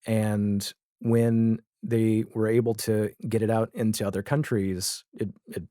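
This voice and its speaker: A man with a fairly low voice talks casually as a guest on a podcast. He feels his way through sentences in a hesitant, think-aloud manner. The sound is dry, with very little room around it. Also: steady tone, a little slow, mellow, dry recording. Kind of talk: casual chat.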